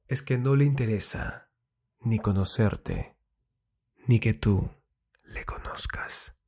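A man giving a soft, intimate ASMR monologue in a breathy voice that often drops to a whisper, soothing and confiding, close to the mic. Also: medium pitch. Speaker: ASMR host giving love advice. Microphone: very close ASMR microphone.

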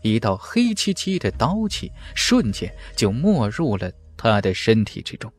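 A man's voice: mid-range voice, big pitch swings, a little slow, slightly rough, faint music behind. A man narrating a fantasy novel in a dramatic, scripted audiobook style.

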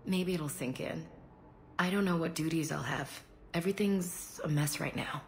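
An American actress playing a young soldier in a video game, subdued and weary, hesitant and understated. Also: female voice, low-pitched, moderate pace, slight background noise. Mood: subdued, unsure. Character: young female human soldier, subdued, grieving, dutiful. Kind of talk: naturalistic game dialogue acting. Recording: game music behind dialogue.

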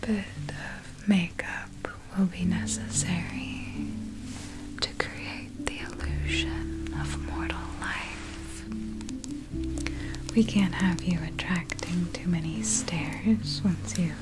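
A woman playing an ancient, possessive, doting vampire in an ASMR roleplay, speaking in a breathy whisper with a deliberate, soothing manner. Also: lowish voice, steady tone, over loud music. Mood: instructive, soothing. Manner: slow, deliberate, soothing, intimate, controlling.

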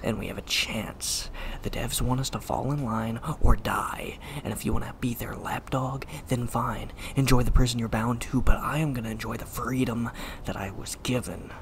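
An actor in an audio drama playing a bitter, hunted superhuman rebel with fierce conviction and an intense, hushed, menacing delivery. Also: medium pitch, creaky, background noise. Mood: intense, resentful.

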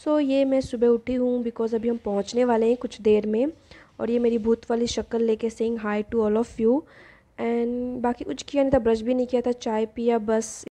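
A woman's voice: fairly high pitch, level tone, moderate pace, mellow. A young woman, a travel vlogger, chatting casually to camera and mixing English phrases into her talk, recorded on a phone while on the move. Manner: chatty, mixes English into Hindi, frequent fillers. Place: on the move: train, hotel room, outdoor park and lakeside street. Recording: phone recording with traffic and street noise.

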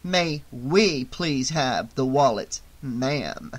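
A man's voice: high-pitched, lively intonation, takes it slowly, a bit bright, pitched above the performer's own voice, exaggerated voice. A man voicing a brash woman biker gang leader for an audiobook, with a mocking, taunting drawl.

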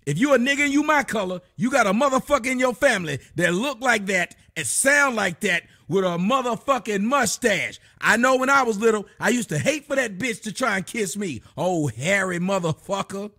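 A middle-aged man hosting a livestream from his home, giving loud, mocking commentary full of cursing on a video clip. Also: high voice, lively intonation, normal speed, few pauses. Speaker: livestream host. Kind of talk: profane comedic commentary on a clip. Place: indoor home room.

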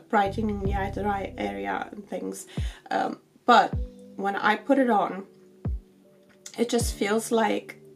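Woman in her forties, croaky after illness, gravelly fry, faint music underneath, casual review to camera. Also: mid-range voice. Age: 40s. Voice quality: husky, croaky. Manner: chatty with frequent ums, light laughs. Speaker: beauty vlogger. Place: bedroom with shelves, indoors.

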